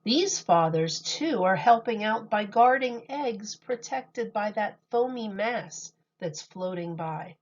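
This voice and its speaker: A woman teacher reading a picture book aloud to young children in a deliberate, expressive storytelling manner. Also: medium pitch, lo-fi sound.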